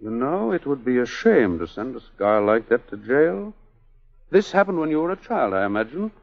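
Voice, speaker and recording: An actor playing a suave, obsessed male surgeon in a 1940s radio drama, speaking in a deliberate, commanding way. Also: mid-range voice, very animated, vocal fry. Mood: probing, intrigued. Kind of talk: 1940s radio melodrama acting. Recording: muffled old 1940s radio recording.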